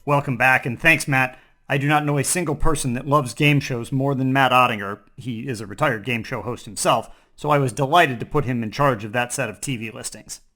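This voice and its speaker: A man's voice: medium pitch, steady tone, fairly quick, sharp tone, faint music behind. A man hosting a scripted comic newscast, delivering it in a mock-serious newsreader manner. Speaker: host and news anchor.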